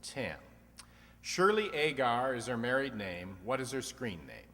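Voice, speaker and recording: A wry, teasing quiz show host played by a man quips his way through an old-time radio comedy program. His medium-pitched voice rings in a long hall reverb. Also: big pitch swings, unhurried, bright, forceful tone, exaggerated voice. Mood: brisk, wry. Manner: wry, quipping. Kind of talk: 1940s-50s comedy radio quiz re-enactment.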